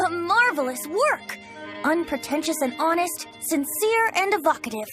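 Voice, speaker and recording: Young male game bard, eloquent, playful, animated intonation, faint music behind. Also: very high voice, unhurried, exaggerated voice. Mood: poetic, admiring. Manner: lilting, theatrical, teasing. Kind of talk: video game voice acting.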